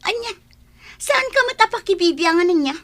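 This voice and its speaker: A woman's voice: very high voice, animated, moderate pace, fairly bright tone. A woman playing a loyal, meddling friend in a radio drama, confrontational and indignant. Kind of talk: Ilocano radio drama acting.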